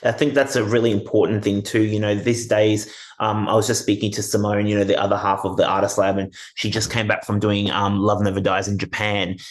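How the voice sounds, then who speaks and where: A man's voice: lowish voice, flat delivery, fairly quick. A man hosting a conversational interview, earnestly advising listeners and repeating his points. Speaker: interview host.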